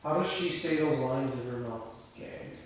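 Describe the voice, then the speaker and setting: Mężczyzna w rozmowie, mocne echo pokoju. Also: male voice, medium pitch, moderate pace. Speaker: table read participant discussing the script. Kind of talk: casual group discussion. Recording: echoey room, distant mic, background noise.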